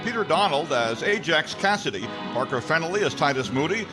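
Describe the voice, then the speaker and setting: A man playing a brisk, hearty announcer in a 1940s radio comedy, with a showy, declamatory manner. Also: a little high, animated, music in the background, natural voice.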